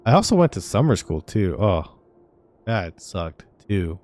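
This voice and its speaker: A young man with a somewhat low voice chats casually on a game stream, wandering through his stories with plenty of fillers and restarts. Soft game music plays faintly behind him. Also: very animated, a little slow. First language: Vietnamese. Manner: rambling, filler-heavy storytelling. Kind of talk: casual gaming stream chat.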